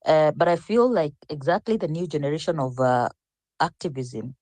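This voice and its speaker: Mujer mayor, charla reflexiva y pausada. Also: female voice, sits low, animated, dark tone, no room sound. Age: older. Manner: reflective, rambling with restarts. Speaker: panelist. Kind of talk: online panel discussion.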